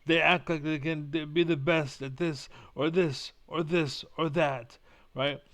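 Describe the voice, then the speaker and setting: A man's voice: on the high side, fairly even intonation, unhurried, a bit bright. A young man with Spanish as his first language, rambling bluntly in a casual monologue and swearing now and then. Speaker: vlogger.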